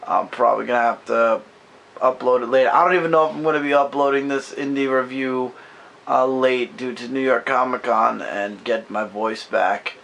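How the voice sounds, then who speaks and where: A man losing his voice and sounding hoarse, chatting loosely about comics from behind the camera in a bedroom. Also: mid-range voice, steady tone, normal speed, fairly bright tone. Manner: rambling, loose and self-correcting. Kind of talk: casual comic book review chat. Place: bedroom at home. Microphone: camera mic, speaking from behind the camera.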